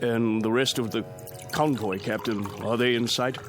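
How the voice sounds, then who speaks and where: A man's voice: medium pitch, steady tone, moderate pace, music in the background, close to an everyday voice. An actor with a British accent playing a genteel, courteous older army major in a radio drama, proper and old-fashioned in his speech. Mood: uneasy. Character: genteel male military major, older widower, courteous and fussy.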